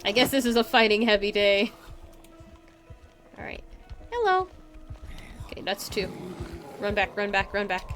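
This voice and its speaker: A woman's voice: medium pitch, big pitch swings, measured pace, long pauses, soft background music. A woman giving casual live gameplay commentary in a playful way, talking to herself and her chat. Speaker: game streamer, host.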